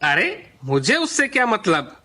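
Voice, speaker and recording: A woman voicing a greedy, bullying male sweet-shop owner in a cartoon story, with a gruff, threatening manner and a much deeper put-on voice. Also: sing-song, unhurried, bright, forceful tone, full character voice.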